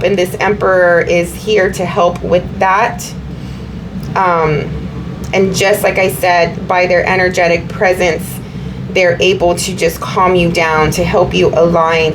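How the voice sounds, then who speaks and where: Slightly hoarse woman reading tarot. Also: fairly low pitch, noisy background. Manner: meandering, repeats herself, many fillers. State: slightly hoarse, recovering from illness. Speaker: tarot reader. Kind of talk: casual tarot reading to viewers.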